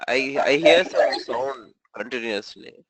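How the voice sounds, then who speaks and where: A man's voice: mid-range voice, takes it slowly, heavy background noise. A man with a cold teaching an online training session, explaining step by step and often checking in with "right?". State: has a cold, voice low. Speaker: SAP trainer. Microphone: video call.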